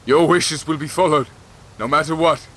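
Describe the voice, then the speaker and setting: An actor playing a grieving, exhausted, merciless king in a fantasy audio drama, speaking formally and with deliberate command. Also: on the high side, fairly even intonation, fairly bright tone, slight background noise, lightly acted. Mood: stern, anguished.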